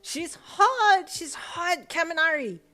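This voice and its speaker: A woman voicing a lecherous adolescent boy with a screeching, high-pitched voice. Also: expressive, slow, pushed and bright, faint music behind, exaggerated voice. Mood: wheedling whine. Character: lecherous shrill adolescent boy, frantic and pleading.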